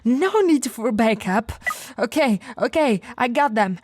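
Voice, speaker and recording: A woman's voice: fairly high pitch, expressive, unhurried, background noise. A woman voicing a flirty but firm policewoman in an ASMR roleplay, with a teasing, coaxing, authoritative manner. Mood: brisk, in command. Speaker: voice actor in roleplay audio.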